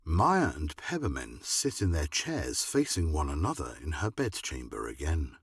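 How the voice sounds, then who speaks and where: A man with a husky voice reading scripted narration for a radio drama. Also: a bass voice, very animated, moderate pace, dry recording.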